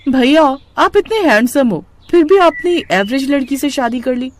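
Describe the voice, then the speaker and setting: A woman's voice: high voice, lively intonation, moderate pace, voice pushed up. A woman voicing a vain, spiteful young sister-in-law with a mocking, boastful manner in a cartoon story. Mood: jealous, scornful.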